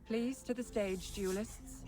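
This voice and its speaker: A woman's voice: on the high side, steady tone, slow pace. An actress voicing a stern female judge in a game, formal and authoritative. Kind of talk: game voice acting.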